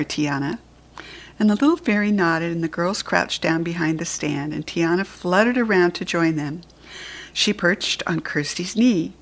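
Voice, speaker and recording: A woman reading a children's storybook aloud, clearly and expressively. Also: fairly low pitch. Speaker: storybook reader.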